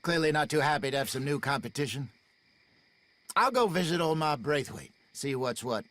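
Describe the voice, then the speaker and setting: Animated, bright-voiced Old West con man. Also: on the high side, normal speed, dry recording. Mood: calm, wry. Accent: American. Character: older genial smooth-talking con man in the Old West. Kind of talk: cinematic video game acting.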